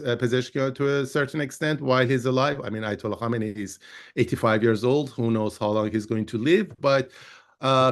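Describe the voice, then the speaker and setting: A man explaining his analysis on a panel discussion, thinking aloud as he goes. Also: medium pitch. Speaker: policy analyst.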